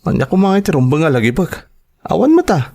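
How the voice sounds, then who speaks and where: Cold Ilocano young husband. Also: male voice, on the high side, sing-song, fast talker, gravelly, darkish tone, exaggerated voice. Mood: curt. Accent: Filipino, Ilocano. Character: cold, dismissive young husband, curt and resentful. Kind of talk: radio-style drama acting.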